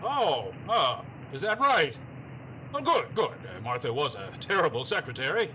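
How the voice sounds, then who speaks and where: A man's voice: a little high, sing-song, normal speed, pushed and bright. A man playing an easygoing, absent-minded newspaper owner who hems and repeats himself, in a 1950s radio drama. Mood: distracted, agreeable. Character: dithering, golf-mad male newspaper owner, easygoing. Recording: dull band-limited sound, noisy background.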